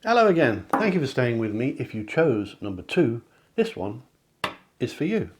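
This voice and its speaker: A man leads a card reading, chatting and encouraging, correcting himself as he goes. His voice sounds slightly hoarse at times, and he clears his throat. His pitch sits in the middle range for a man. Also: big pitch swings, normal speed. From England. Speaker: psychic card reader. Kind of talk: tarot-style card reading to camera. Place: home near the sea.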